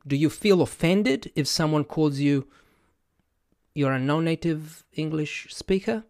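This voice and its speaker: Slow, hesitant Italian-accented man. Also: fairly high pitch, darkish tone, dry recording, clean studio sound. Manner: hesitant, many fillers and self-restarts, thinking aloud. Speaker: podcast host and language educator. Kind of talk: casual reflective monologue to camera.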